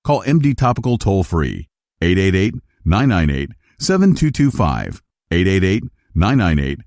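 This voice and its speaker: A man's voice: sits low, sing-song, moderate pace, a little mellow, no echo, clean studio sound. A man reading a health-product radio advertisement with urgency, repeating a phone number again and again. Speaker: commercial announcer. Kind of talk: advertisement read.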